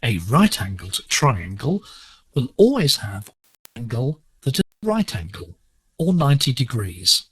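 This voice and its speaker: Slow, deliberate male educational presenter. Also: medium pitch, big pitch swings, fairly bright tone, dry recording. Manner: measured, didactic. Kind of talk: scripted lesson narration. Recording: digital shortwave radio broadcast, slightly rough.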